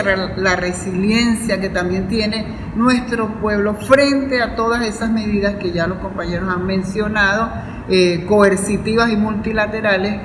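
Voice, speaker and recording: A woman with a Venezuelan Spanish accent giving an earnest, formal panel speech as a university academic, repeating phrases for emphasis. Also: medium pitch. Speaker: university professor and academic vice-rector. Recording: echoey hall with loud background noise.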